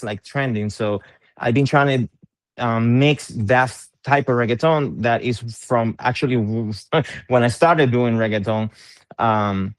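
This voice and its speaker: A man's voice: medium pitch, clean tone, no echo. A middle-aged man from Puerto Rico, an interviewed musician, talking in a casual conversation, hesitant and full of fillers and repeated words.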